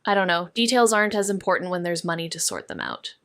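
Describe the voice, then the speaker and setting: A woman's voice: on the low side, fast talker, fairly bright tone, smooth, no echo. An actress playing a wry, guarded young woman, a former med student, in a naturalistic audio drama with dry, understated delivery. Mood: earnest, weary.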